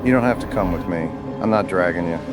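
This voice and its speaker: A man's voice: sits low, fast talker, over loud music, close to an everyday voice. A man playing a grim, level-headed local hunter in a horror story, grave and matter-of-fact. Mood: grim, blunt. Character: grim, level-headed local male hunter who knows his prey. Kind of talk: scripted horror story dialogue.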